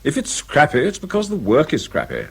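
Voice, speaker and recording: A pompous British male director lays down the law in a radio drama. He is officious and condescending, with hugely varied intonation and a husky edge. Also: on the high side, wildly swooping pitch, fairly quick, heavily acted. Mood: officious, curt. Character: pompous, overbearing new male director of research section.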